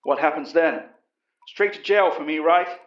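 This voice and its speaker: A man's voice: on the high side, a little slow, lightly acted. A frightened American army major on the run , played by a male narrator, trembles with dread of the beast inside him. It is audio drama, recorded in a roomy space with a slightly dull sound. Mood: wary, defeated. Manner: trembling, fearful.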